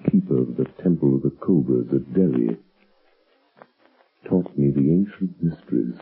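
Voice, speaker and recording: An actor playing a suave, mysterious crime-fighter with a measured, portentous delivery in a 1930s radio drama. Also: male voice, very deep voice, slightly rough, heavily acted. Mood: brooding. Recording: muffled tinny 1930s radio broadcast recording.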